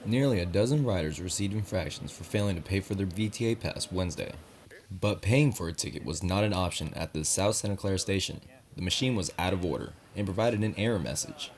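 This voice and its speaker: Low-voiced man reading scripted news. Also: a little fast. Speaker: news reporter.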